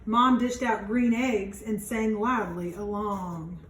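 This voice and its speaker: A woman teacher reading a children's storybook aloud, pausing to explain things simply to young listeners. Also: medium pitch, steady tone. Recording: roomy, distant mic, faint background noise.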